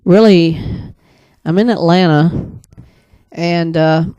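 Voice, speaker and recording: Male casual storyteller, very unhurried and hesitant, smooth soft voice, close dry sound. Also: fairly high pitch, fairly even intonation, no room sound, dull audio. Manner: hesitant, rambling, pauses often mid-sentence. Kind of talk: casual first-person storytelling, recounting a dream.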